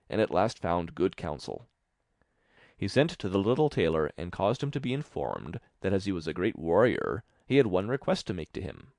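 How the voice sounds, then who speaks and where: A man's voice: deep voice, vocal fry, darkish tone, no room sound, studio quality. A man reading a fairy tale as scripted audiobook narration.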